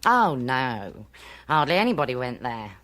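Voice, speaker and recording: A woman's voice: rumbling low, sing-song, a little slow, fairly bright tone, heavily acted. An actress playing a self-important, gossipy clairvoyant woman with a haughty, theatrical manner in a radio drama. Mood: scornful gossip. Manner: haughty, theatrical, mysterious whispers.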